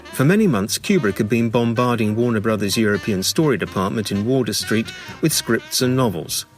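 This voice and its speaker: A man narrating a scripted memoir in a measured, dramatic storytelling manner with deliberate pauses. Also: on the low side, lively intonation, faint music behind.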